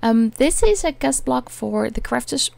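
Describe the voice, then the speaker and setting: A Dutch woman with a Dutch accent, talking through a crafting tutorial in a hesitant way, often pausing with "um" while she searches for words. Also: fairly high pitch, expressive, gravelly, darkish tone. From Netherlands. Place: home attic.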